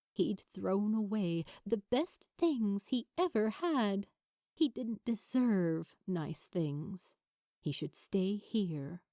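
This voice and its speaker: A woman reading a story aloud as an expressive audiobook narrator, with dramatic, weighted pauses. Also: medium pitch, no echo, clean studio sound. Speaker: fan fiction narrator.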